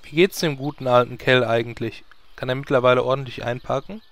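An actor playing a sardonic hero of sixty, dry and long-winded, in a comedy fantasy audio play. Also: male voice, medium pitch, a little fast, natural voice. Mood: cocky. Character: sardonic sixty-year-old male hero.

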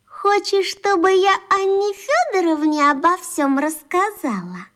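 Smug, high-pitched little schoolgirl. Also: lively intonation, fairly bright tone, heavily acted. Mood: sly, pressing. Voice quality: girlish. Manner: drawn-out, taunting, theatrical. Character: smug, pushy, teasing schoolgirl. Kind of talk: children's radio play acting.

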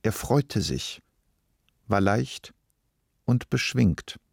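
A man reading literary narration for a radio play in a measured, deliberate way. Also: on the low side, lively intonation, creaky, no room sound, studio quality.

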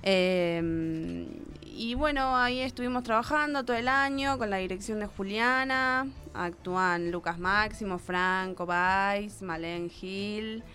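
A woman's voice: mid-range voice, slow, bright, forceful tone. A woman with an Argentine accent chatting casually as a radio guest, hesitant and often repeating words of agreement. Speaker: radio guest from a theatre group. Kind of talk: casual live interview chat. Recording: background noise and ambience.

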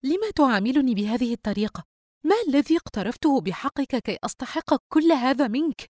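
An indignant young sister, played by a female narrator in an audiobook story. Her voice rides high, hurt and incredulous, pleading her case with exasperation. The sound is close and dry. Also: lively intonation, quick pace, darkish tone, no echo, close to an everyday voice. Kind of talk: dramatised audiobook dialogue.

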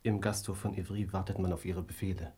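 Husky, deferential royal officer. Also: male voice, low voice, level tone, quick pace, mellow. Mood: deferential, urging. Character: deferential royal officer urging his king on. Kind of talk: German radio drama acting.

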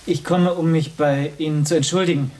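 A man's voice: on the high side, steady tone, a little fast, darkish tone, distant mic, lightly acted, low-quality recording. An actor playing a polite, cautious man who talks in a tentative, ingratiating way in a German radio play. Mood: ingratiating, careful. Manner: conciliatory, tentative.

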